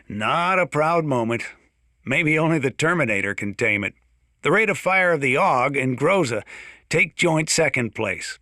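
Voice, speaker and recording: A man's voice: mid-range voice, sharp tone, dry recording, clean studio sound. A man playing a folksy, gun-loving small-town sheriff in a video game, chatting boastfully in a conversational radio-style monologue.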